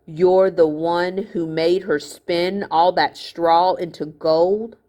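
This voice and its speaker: A woman gives voice to a young boy hero from a storybook. He is guarded and stubborn, speaking slowly and holding his ground with wary defiance. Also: lowish voice, fairly even intonation, dark tone. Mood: shocked.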